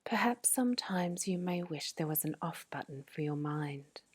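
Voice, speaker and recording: A woman whispering a guided sleep meditation in a soft, breathy voice, slow and soothing. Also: deep voice, expressive.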